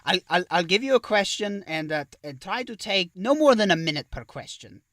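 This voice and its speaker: A man's voice: high-pitched, animated, brisk, a bit bright, dry recording, studio quality. A man voicing a courteous vampire count who hosts an interview show, with a put-on Dracula-style accent and a wordy, hesitant way of talking. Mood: courteous, amused. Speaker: podcast host and interviewer. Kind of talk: in-character interview.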